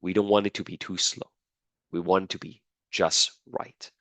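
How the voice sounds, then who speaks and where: Very low-voiced sick male lecturer. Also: little pitch movement, moderate pace, a bit bright, no room sound. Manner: repeats key points, checks understanding with "right?" and "okay?". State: sick, losing his voice. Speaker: biology lecturer. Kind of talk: classroom lecture. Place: classroom.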